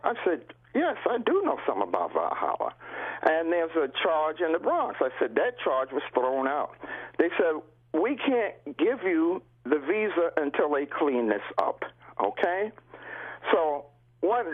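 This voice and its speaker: An older man from the United States, a guest on a radio phone interview, telling his story in a halting way with many repeated words. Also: fairly high pitch. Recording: tinny phone line.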